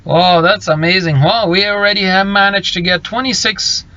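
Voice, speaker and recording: Halting Low man on a lo-fi live stream. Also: steady tone, sharp tone. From Bolivia. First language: Low German. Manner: halting, many fillers and restarts. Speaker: trucker vlogger reading live chat. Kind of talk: casual live stream chat. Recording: slightly muffled lo-fi live stream.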